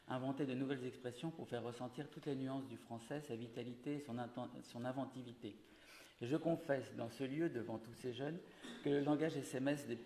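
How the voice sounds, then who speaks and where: A man who grew up in Syria, a native Arabic speaker, reading a measured, earnest formal speech as guest of honour. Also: mid-range voice, darkish tone. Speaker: guest speaker, comics author. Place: large ceremonial hall. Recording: echoey hall with faint noise.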